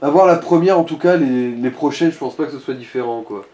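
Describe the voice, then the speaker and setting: A man with a mellow, mid-pitched voice talks a fellow player through a game. He is casual and crude-mouthed, and the recording has a clearly roomy echo. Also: brisk, muffled recording. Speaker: co-player giving game advice. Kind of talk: casual gaming chat.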